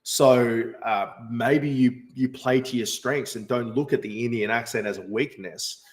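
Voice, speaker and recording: A man's voice: mid-range voice, measured pace, low-quality recording. A man, hosting a casual live stream and answering chat questions with many fillers and restarts. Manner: rambling, frequent fillers and restarts. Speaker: livestream host answering chat.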